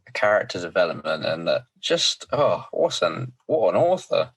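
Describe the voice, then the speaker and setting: A man hosting a podcast, a little croaky, talking enthusiastically with frequent ums. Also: low voice, big pitch swings, moderate pace. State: slightly croaky voice. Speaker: podcast host. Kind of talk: casual podcast chat.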